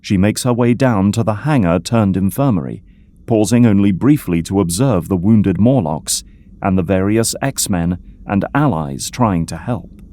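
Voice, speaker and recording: A man's voice: fairly low pitch, lively intonation, measured pace, mellow, no room sound. A man reading scripted, dramatic third-person narration for an audio drama as its narrator.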